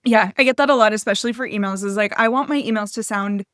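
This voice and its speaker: A woman guest chats in a playful, loose way, leaning on "like" as she talks. Her pitch sits in the middle range. The recording is dry and close, with no room sound. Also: fast, fairly bright tone, smooth, no room sound. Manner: chatty and playful, frequent "like". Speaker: guest copywriter. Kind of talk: casual candid chat.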